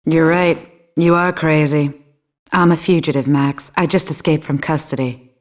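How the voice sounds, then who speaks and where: A woman's voice: deep voice, fairly even intonation, moderate pace, natural voice. An actress playing a tough, sardonic female fugitive in a noir game, curt and cool in her delivery. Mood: angry. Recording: music under the voice.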